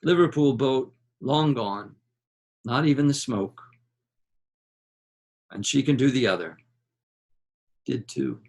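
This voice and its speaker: A man reading a literary novel aloud in a measured, dramatic way, with deliberate pauses between short phrases. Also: mid-range voice, expressive, creaky, darkish tone.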